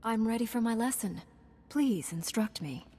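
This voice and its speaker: An actress playing a composed, earnest young Jedi knight in a video game, measured and polite. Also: female voice, fairly high pitch, lively intonation, warm tone. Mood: feigned deference. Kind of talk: video game cutscene acting.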